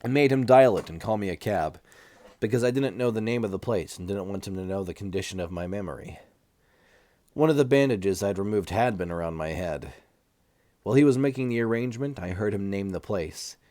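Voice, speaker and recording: A man's voice: lowish voice, warm tone, dry recording. A man reading a first-person novel aloud as an amateur audiobook narrator.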